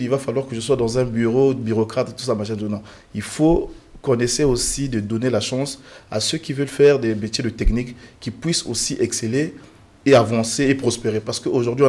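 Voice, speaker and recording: A man's voice: mid-range voice, darkish tone. A man from the Republic of the Congo, a guest on a televised panel, speaking earnestly and emphatically with frequent fillers and restarts.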